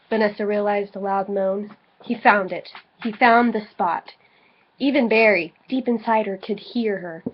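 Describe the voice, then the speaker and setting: A woman's voice: mid-range voice, a little hiss or hum. A tipsy woman giving a theatrical, mock-dramatic reading of comic fan fiction, prone to giggling. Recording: slightly dull lo-fi home recording.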